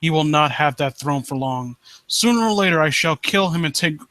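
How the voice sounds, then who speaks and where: A man plays a vengeful brother hungry for the throne in a dramatic story reading. The delivery is grim and deliberate, set low against his usual talk, with a bright edge and a very dry, close sound. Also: animated, dry recording. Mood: brooding rage. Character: vengeful ambitious brother plotting to seize the throne.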